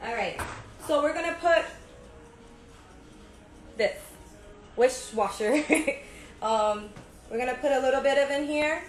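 A woman's voice: high-pitched, slow, pauses often, soft background music. A woman in her thirties hosting a casual home cooking video, talking through each step in a chatty way and often checking in with "right?". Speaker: home cook host. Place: home kitchen, indoors. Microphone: phone camera at arm's length. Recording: echoey kitchen with noise.

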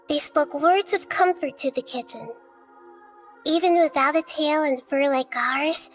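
High, girlish, gentle child deity. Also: rough recording. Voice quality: soft, girlish. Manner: measured, thoughtful, articulate. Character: small childlike female deity, gentle, wise, composed. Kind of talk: video game dialogue and fairy-tale narration. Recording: music under the voice. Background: music.

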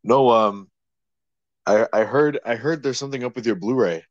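A man's voice: mid-range voice, moderate pace, dry recording, dull audio. A young man co-hosting a podcast in casual chat, teasing his partner playfully. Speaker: podcast co-host.

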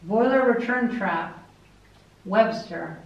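A woman's voice: mid-range voice, slow, vocal fry. A woman casually narrating as she explores a cluttered boiler room, talking technically and using lots of fillers. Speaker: vlogger exploring a basement. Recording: echoey basement, dull camera audio, faint hiss.

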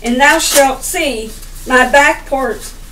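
A middle-aged woman reading the Bible aloud as a sermon, in deliberate broken phrases with stumbles over the words. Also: high voice, sharp tone, slight background noise. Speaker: lay preacher reading scripture. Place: indoor room by a window. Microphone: camera a little way off. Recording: roomy, distant camera microphone.

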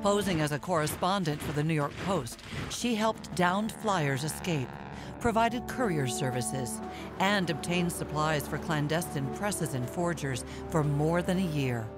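A woman reading a scripted documentary narration in a measured, formal way. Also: deep voice. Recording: voice-over with music bed.

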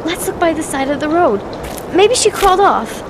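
An actress playing a frightened, soft-hearted young woman in a radio drama, anxious and pleading. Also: extremely high pitch, very animated, moderate pace. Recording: noisy night-time drama soundscape.

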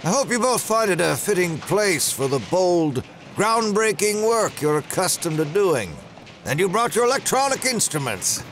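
A commanding corporate boss. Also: male voice, high-pitched, very animated, moderate pace, a bit bright, slight background noise, heavily acted. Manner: controlling, insistent. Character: smooth commanding male pharma executive. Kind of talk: audio drama acting.

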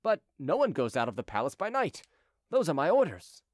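An anxious male palace sentry, played by a male narrator from a storybook. His voice is high and swooping, deferential and persuasive, recorded dry. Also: huge pitch swings, brisk, no echo. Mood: startled, deferential. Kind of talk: audiobook character dialogue.

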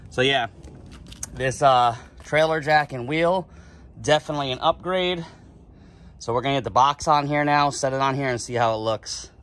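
Bright-voiced man narrating a driveway DIY job. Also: mid-range voice, normal speed. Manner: relaxed, plain-spoken, modest. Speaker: DIY channel host. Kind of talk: casual how-to narration. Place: outdoors on a concrete driveway. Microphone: camera mic, one hand on camera.

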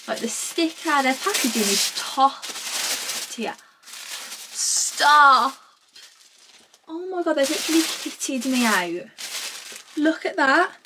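A woman's voice: sits high, expressive, unhurried, background noise. A woman in her twenties with a British accent, chatting casually to camera in a gushing, informal vlog style at home. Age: 20s. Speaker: vlogger. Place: small room at home, indoors.

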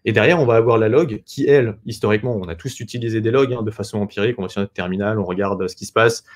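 A young man presents a technical topic in a webinar. His voice sits slightly low and he talks quickly. He explains things in an informal, conversational way, posing questions and answering them himself. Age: young adult. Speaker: sales engineer presenting. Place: small indoor room on a video call.

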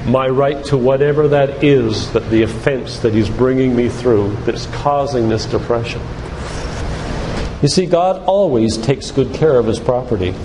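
A man's voice: medium pitch, unhurried. An older man giving an emphatic, preacherly church lecture, putting rhetorical questions to his listeners. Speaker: physician giving a faith-based lecture. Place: church, speaking to a congregation. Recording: echoey church hall with background noise.

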